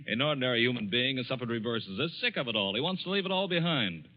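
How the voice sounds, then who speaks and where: An actor with an American accent playing a dismissive, mocking book editor in 1940s radio style. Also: male voice, medium pitch, fast, natural voice. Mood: scoffing. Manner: scoffing, animated. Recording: muffled 1940s radio recording.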